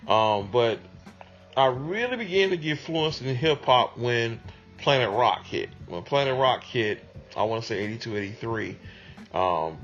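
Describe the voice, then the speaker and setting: A man speaks in a mid-range voice, recalling things in a relaxed interview manner with plenty of ums and pauses. The recording sounds a little muffled, with soft music playing underneath. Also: medium pitch. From Detroit, USA. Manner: unhurried, conversational, with many ums. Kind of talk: reflective interview answers.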